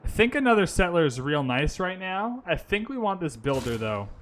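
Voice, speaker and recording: Bright-voiced man chatting over a strategy game. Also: sits high, sing-song, moderate pace, a little hiss or hum. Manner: chatty, thinks aloud, chats with viewers. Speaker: streamer playing a strategy game. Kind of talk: casual gaming commentary. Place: lives in Vancouver.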